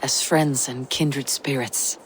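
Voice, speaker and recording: An actress playing a stoic, loyal female Viking raider with a measured, plain-spoken manner in a video game. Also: rumbling low, steady tone, slight background noise. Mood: gentle, sincere. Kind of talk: video game cutscene acting.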